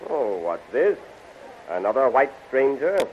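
A man's voice: medium pitch, very animated, unhurried. A man playing a stern, commanding alien prince in theatrical 1930s radio serial style. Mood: imperious. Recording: muffled, hissy 1930s radio recording.

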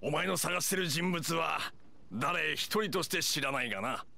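Anime game village hunter: bright, creaky voice, blunt and skeptical. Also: male voice, fairly high pitch, expressive, normal speed, full character voice. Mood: exasperated. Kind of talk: Japanese anime game voice acting.

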